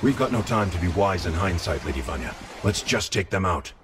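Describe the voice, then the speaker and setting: An actor playing a resolute medieval lord in a strategy game, earnest and commanding. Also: male voice, sits low, expressive, a little fast, noisy background. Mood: decisive. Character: resolute medieval lord, male bannerman leader. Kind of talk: video game cutscene acting.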